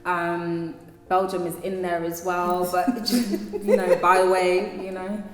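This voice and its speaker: A singer being interviewed, a woman with a deep speaking voice who talks thoughtfully with many fillers and restarts. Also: flat delivery, takes it slowly, echoey room, long reverb tail, poor audio. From London. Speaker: singer, guest. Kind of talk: casual interview talk.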